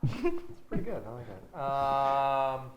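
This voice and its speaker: A man's voice: mid-range voice, big pitch swings, slow. A man joking casually as a guest in panel banter. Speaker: interview guest from a community theater. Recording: echoey, noisy, off-mic room sound.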